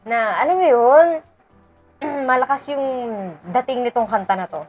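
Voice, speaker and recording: Female Filipino fan commentator, high voice, casual and emphatic reaction chat, faint music underneath. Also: expressive, moderate pace. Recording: music playing behind the voice.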